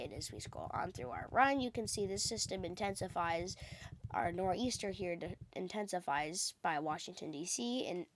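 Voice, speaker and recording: A woman who is a little sick, giving an informal, explanatory amateur weather forecast as she talks through maps. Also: on the low side. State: sick with a cold. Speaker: amateur weather vlogger, host. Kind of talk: casual weather forecast commentary over maps.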